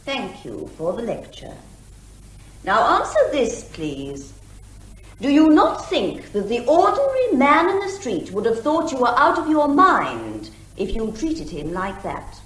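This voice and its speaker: A woman's voice: a little high, huge pitch swings, measured pace, fairly bright tone, full character voice. A woman playing an insistent barrister in a courtroom comedy drama, putting pointed, formal questions. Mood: pointed, exasperated. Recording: roomy, echoing sound.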